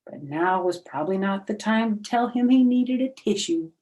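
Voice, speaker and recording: A woman reading a children's storybook aloud in an animated storytelling style. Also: mid-range voice, moderate pace, poor audio. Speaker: storybook reader.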